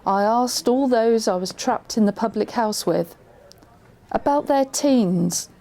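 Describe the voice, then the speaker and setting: A woman's voice: on the high side, takes it slowly, warm tone. A woman reading scripted narration for a community radio drama.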